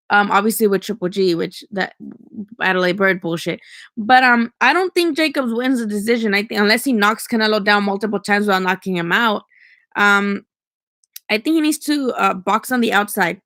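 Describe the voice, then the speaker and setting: A woman's voice: medium pitch, fairly bright tone, no echo. Chatty young Mexican woman, dry sound. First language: Spanish. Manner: chatty, opinionated, swears casually, many fillers. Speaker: boxing streamer answering chat. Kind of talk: casual livestream chat. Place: at home.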